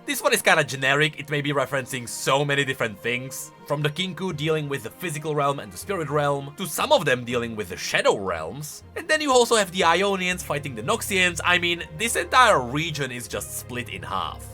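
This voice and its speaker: Male gaming commentator: fairly high, forceful voice, casual and emphatic, reacting and explaining over faint music. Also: sing-song, a little fast, hardly any pauses. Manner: playful, emphatic, swooping delivery. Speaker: streamer and video game lore commentator.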